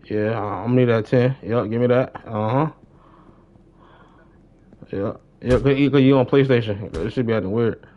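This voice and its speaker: A young man giving casual live gaming commentary, slangy, repeating short phrases excitedly. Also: mid-range voice, normal speed, pauses often, dull audio. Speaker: game streamer.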